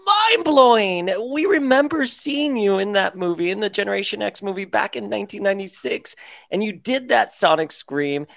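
A podcast co-host asking gushing, excited questions of a guest. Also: medium pitch, moderate pace, creaky, no echo, studio quality. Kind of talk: enthusiastic podcast hosting.

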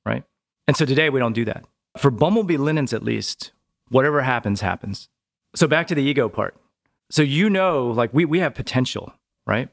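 A man talking as a podcast guest in a relaxed, chatty way, often tagging his points with a quick question. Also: medium pitch, creaky. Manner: relaxed, chatty, tags sentences with "right?". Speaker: podcast guest, business owner. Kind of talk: casual conversational interview.

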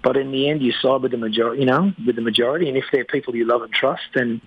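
A man's voice: mid-range voice, steady tone, fast talker, slightly rough, dull audio. A man with an Australian accent, a band's lead singer, chatting casually and joking in a radio interview. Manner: laid-back, joking, says "mate". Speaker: band lead singer, guest.

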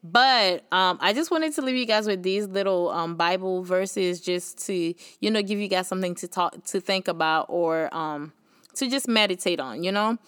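A woman's voice: lowish voice, moderate pace, fairly bright tone, smooth, no room sound, studio quality. A young woman with a Haitian accent hosting a faith podcast, talking casually and earnestly, encouraging listeners with many fillers. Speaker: podcast host.

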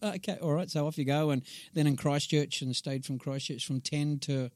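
A man in his fifties with a New Zealand accent, a guest in a casual interview who tells animated stories and laughs as he talks. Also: fairly high pitch, fairly quick, dry recording, studio quality. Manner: animated storyteller who laughs through anecdotes. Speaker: guest, inspirational speaker.

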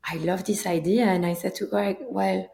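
Female founder guest, Belgian accent, chatty storyteller, medium pitch, echoey room. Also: steady tone, moderate pace, gravelly, mellow. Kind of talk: casual interview storytelling. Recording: roomy, echoing sound.

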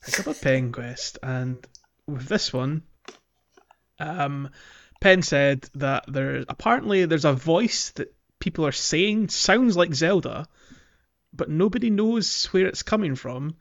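A man's voice: fairly high pitch, lively intonation, takes it slowly. A man casually recapping a video game as a podcast host, explaining in a hesitant, giggly way. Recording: slightly dull audio, music underneath. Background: background music.

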